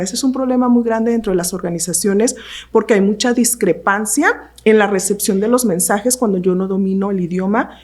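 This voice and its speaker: Give me a woman co-hosting a podcast, mid-range voice, explaining things calmly and steadily. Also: medium pitch. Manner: measured, explanatory, repeats phrases for emphasis. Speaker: podcast co-host, university professor. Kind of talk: panel discussion on a podcast.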